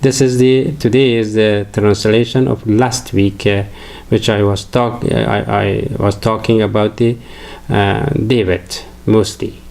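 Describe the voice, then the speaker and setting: Older man, Afghan accent, Farsi native, low voice, halting lecture. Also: steady tone, vocal fry, darkish tone. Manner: hesitant, many fillers and restarts. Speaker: religious lecturer.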